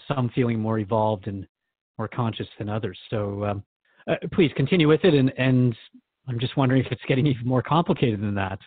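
A man's voice: medium pitch, no room sound, narrow, tinny audio. A man hosting a conversational radio interview, speaking informally and reflectively, with some hesitancy as he thinks aloud. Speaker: radio show host and interviewer.

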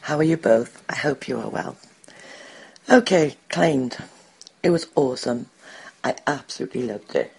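Gravelly woman leaving a voicemail. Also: a bass voice, animated, moderate pace, pauses often, darkish tone, poor audio. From United Kingdom. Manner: blunt, opinionated, playful. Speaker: voicemail caller. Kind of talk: recorded voicemail review.

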